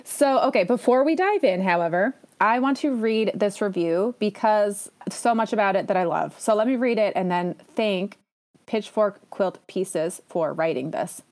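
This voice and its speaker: A woman hosts her podcast alone in a casual, chatty way. She sounds eager and enthusiastic, and she often drops in little self-deprecating asides. Her voice sits at a middle pitch for a woman. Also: normal speed, a little mellow. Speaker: podcast host. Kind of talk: casual solo podcast talk.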